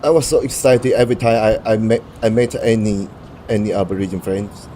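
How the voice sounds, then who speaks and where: A young man with a Taiwanese accent answers interview questions in English, his first language being Mandarin. His voice sits low and sounds dark and mellow. He halts and restarts often while he searches for words. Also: steady tone, fairly quick, a little hiss or hum, poor audio. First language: Mandarin Chinese.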